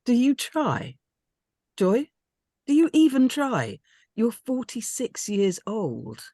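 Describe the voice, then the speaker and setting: A woman's voice: fairly high pitch, wildly swooping pitch, slow, mellow, dry recording, studio quality, exaggerated voice. A woman reading the part of an exasperated, reproachful older mother in a drama script, scolding and emphatic. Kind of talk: script read-through.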